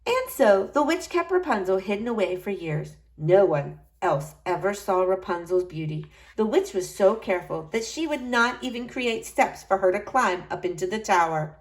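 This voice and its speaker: A woman reading a fairy tale aloud as an expressive storyteller. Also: mid-range voice, normal speed, few pauses, poor audio.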